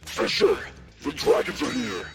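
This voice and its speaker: An actor playing an urgent, commanding vigilante in an audio drama, with a strained, breathy rasp, heard as a distant call. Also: male voice, a little slow, soft background music, natural voice. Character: urgent, commanding male masked vigilante calling for backup.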